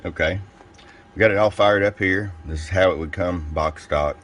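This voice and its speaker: Very deep-voiced man, casual product walkthrough. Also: normal speed, a bit bright. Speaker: radio seller demonstrating a product. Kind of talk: casual hands-on product review, step by step.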